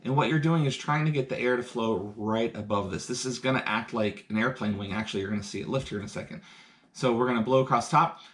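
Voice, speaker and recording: A man's voice: medium pitch, quick pace, a bit bright. Chatty man explaining science experiments at home. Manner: enthusiastic, chatty, explains step by step while doing experiments. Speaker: science teacher. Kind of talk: live-streamed science demonstration. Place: home, indoors.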